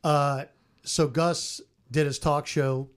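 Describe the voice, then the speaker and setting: A man's voice: a little high, fairly even intonation, slow pace. An American man, a sports broadcaster, telling stories on a podcast roundtable. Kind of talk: animated storytelling on a podcast roundtable.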